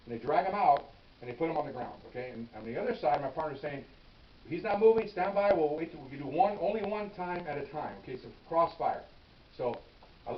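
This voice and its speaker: Older ex-cop telling war stories quick, loud when he acts it out, roomy far-off mic with hiss. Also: on the high side. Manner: animated, acts out his own yelling. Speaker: retired police officer telling stories. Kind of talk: casual storytelling to camera. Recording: roomy, distant, dull with hiss.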